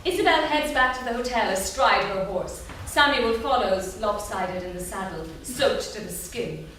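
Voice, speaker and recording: A woman's voice: medium pitch, expressive, moderate pace, a bit bright. Woman narrating in an echoey hall. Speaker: stage directions reader. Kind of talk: scripted narration. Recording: echoey theatre from far off, noisy.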